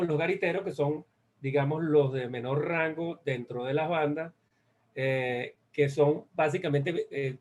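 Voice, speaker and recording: A Venezuelan man presents research in a slightly high voice. He speaks in a halting way, doubling back on phrases and searching for the right word as he explains. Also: darkish tone. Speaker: guest speaker. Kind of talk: academic presentation on a research study.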